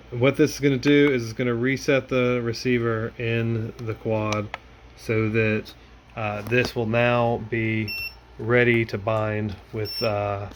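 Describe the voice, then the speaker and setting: A man's voice: on the low side, a little hiss or hum. A man hosting a hands-on tech tutorial, explaining each step informally in casual language. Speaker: tutorial host.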